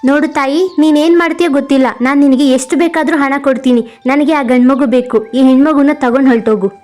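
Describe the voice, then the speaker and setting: A woman's voice: sits high, fairly even intonation, brisk, a little mellow, faint music behind, lightly acted. A woman voicing a greedy, bossy rich merchant man in a cartoon, harsh and commanding.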